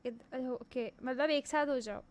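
A woman's voice: sits high, a little slow. A woman in her twenties with an Indian accent reacting casually as a streamer, amused and repeating phrases back. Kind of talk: casual live reaction, mixing Hindi with some English. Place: indoor room at a streaming setup.

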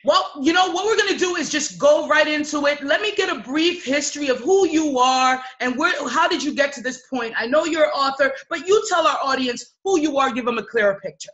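A woman's voice: high voice, lively intonation, a little fast, few pauses, a bit bright, rough recording. A woman hosting an interview on a video call from an office, opening with a showy introduction and gesturing energetically as she talks. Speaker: interview host. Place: office with whiteboards. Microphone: laptop webcam on a video call.

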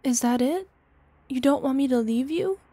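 A woman acting in an ASMR roleplay as a devoted, playful older girlfriend, with a soft, tender, teasing manner. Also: high voice, lively intonation, moderate pace, no echo. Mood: concerned, tender. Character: devoted, playful, smitten older girlfriend soothing her lover.

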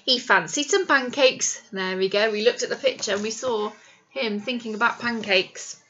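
A middle-aged woman, a primary teacher reading a picture book to young children in an animated, explanatory way with questions to them. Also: fairly high pitch, normal speed, lo-fi sound. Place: small indoor classroom. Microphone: webcam or device at arm's length.

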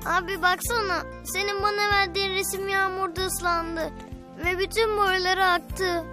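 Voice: extremely high pitch, flat delivery, normal speed, music in the background. A performer voicing a curious, affectionate little boy in a children's cartoon, sweet and eager in manner. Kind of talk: children's cartoon dialogue and first-person narration.

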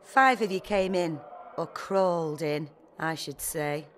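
An actress playing a sly, mercenary brothel madam in a video game, with a familiar, knowing manner. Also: female voice, low voice, slow, fairly bright tone, slight background noise. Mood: sly, chatty.

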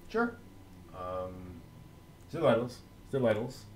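A middle-aged man co-hosting a news show in a casual, chatty way with a halting delivery. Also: fairly low pitch, very animated, slight background noise. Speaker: co-host of an anime news show.

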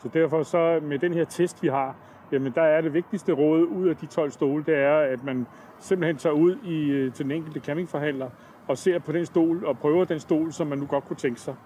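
An older man giving a plain, measured product review on a campsite lawn, speaking into a lapel mic. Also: fairly high pitch, fairly even intonation, dark tone, a little hiss or hum. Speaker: product tester.

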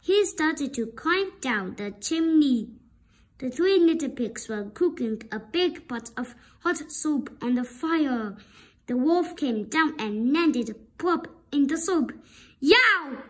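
Slow, high-voiced woman reading a storybook. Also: lively intonation. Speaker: storybook reader. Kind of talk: children's read-aloud narration.

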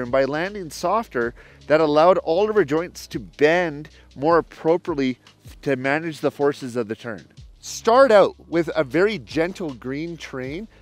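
Male ski coach, high-pitched, clear instructional delivery, explains drills in stages, recorded outdoors. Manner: explanatory, step-by-step. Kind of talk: instructional demonstration to camera. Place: outdoors on an open snowy mountain ski slope.

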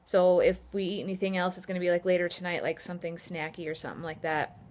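A woman's voice: on the low side, level tone, fast, a little mellow. A woman in her thirties with an American accent, chatting casually and rambling a little as she vlogs. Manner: informal, conversational, rambling. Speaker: vlogger. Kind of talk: casual vlog chat. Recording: clearly noisy phone video sound.

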